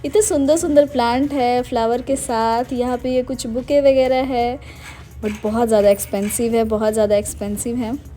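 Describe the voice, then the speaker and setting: A woman's voice: fairly high pitch, fairly even intonation, normal speed, mellow, soft background music. A young adult woman narrating a casual daily-life vlog, chatty and meandering, mixing English words into her Hindi. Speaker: vlogger.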